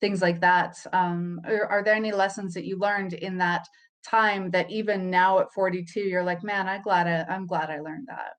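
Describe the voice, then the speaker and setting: A woman's voice: fairly low pitch, fairly even intonation, normal speed. A woman hosting a casual interview, asking questions in a friendly, chatty way with easy laughs. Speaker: host.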